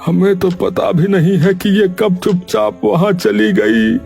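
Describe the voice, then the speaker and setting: A man plays a bitter older villager in an audio story, worn down by grief. He talks extremely slowly and haltingly, with a gravelly creak in a fairly high-set male voice. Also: very animated, a little mellow, faint music behind, full character voice. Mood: resigned, grieving. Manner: halting, heavy, despairing delivery. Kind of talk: acted Hindi audio story dialogue.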